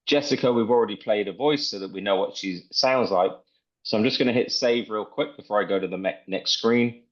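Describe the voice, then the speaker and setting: A man presenting a software demo in an informal, step-by-step tutorial style. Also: on the low side, fairly quick, lo-fi sound. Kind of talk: casual screen-share tutorial walkthrough.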